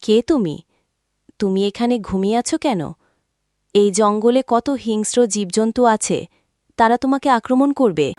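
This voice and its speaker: A woman's voice: a little high, a little slow, pauses often, darkish tone, no room sound, clean studio sound, natural voice. A woman voicing a kind, reassuring young woman of the forest in a cartoon fairy tale, consoling and earnest. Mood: concerned.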